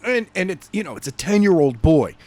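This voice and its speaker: A man's voice: high voice, very animated, brisk, a little mellow. A man co-hosting a podcast, chatting casually and animatedly about a story. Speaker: podcast co-host. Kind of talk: casual chat discussing a story.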